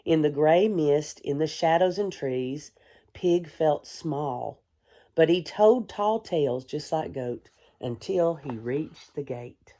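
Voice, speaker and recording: A woman, a kindergarten teacher, reading a storybook aloud to young children in a gentle, expressive way. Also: sits low. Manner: gentle, hushed, expressive storytelling with deliberate pauses; addresses young listeners with simple questions.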